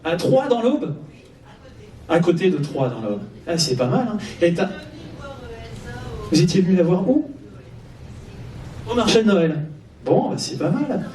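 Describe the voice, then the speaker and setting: A man's voice: high-pitched, big pitch swings, a little slow, long pauses, warm tone. A man hosting a live fan event, chatting casually with the audience in a playful, teasing, informal way. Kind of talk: casual live stage interview with audience banter. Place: cinema auditorium before an audience. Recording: echoey hall, distant mic, audience noise. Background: audience crowd.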